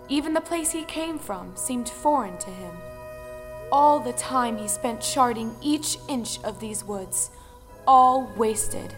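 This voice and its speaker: A woman narrating a folktale audio play in a dramatic, measured storytelling manner. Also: fairly high pitch. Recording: music under the voice.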